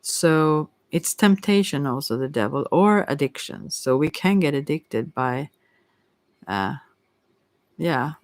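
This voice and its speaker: A person giving a casual ASMR tarot reading, rambling and musing in a gentle, reassuring and hesitant way. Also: medium pitch, animated, takes it slowly. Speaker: tarot card reader.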